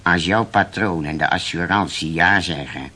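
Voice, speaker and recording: A man's voice: low-pitched, moderate pace, pushed and bright, slightly rough, heavily acted. A man acting in a radio play as an officious, self-important bookkeeper with a fussy, pompous manner. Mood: haughty, impatient.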